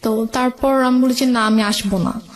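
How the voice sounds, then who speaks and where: A woman's voice: a little high, steady tone, moderate pace. A woman with a Bangladeshi accent, a guest recounting her own life story in conversation.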